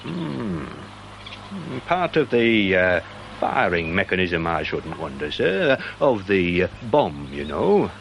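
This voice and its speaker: An actor playing a pedantic, self-important, slightly dim British police sergeant in a radio drama, with a wordy, deliberate manner. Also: male voice, low-pitched, big pitch swings, gravelly, a bit bright, slight background noise, full character voice. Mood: earnest, officious.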